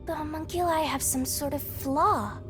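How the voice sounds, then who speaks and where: Woman voicing a squeaky, cheeky spirit girl. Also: normal speed, soft background music, close to an everyday voice. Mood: skeptical. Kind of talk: video game voice acting.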